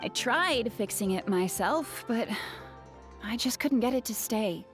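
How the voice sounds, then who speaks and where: An actress playing a modest, earnest villager in a video game, speaking politely. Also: female voice, a little high, expressive, unhurried. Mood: apologetic. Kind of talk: English video game voice acting. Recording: clear background music.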